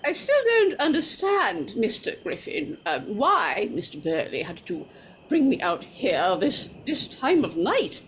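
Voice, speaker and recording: Actress as slow, high-voiced, suspicious woman. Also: huge pitch swings, slight background noise, poor audio. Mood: accusing. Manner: deliberate, hesitant, pointed. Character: suspicious, sharp-tongued, fussy spinsterish woman buyer. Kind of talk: old-time radio horror acting.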